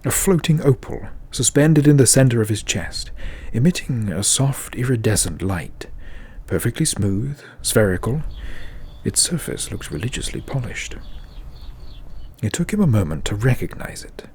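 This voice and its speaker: A man's voice: medium pitch, expressive, moderate pace, darkish tone, slightly rough. A man narrating a scripted fantasy audio drama as the storyteller.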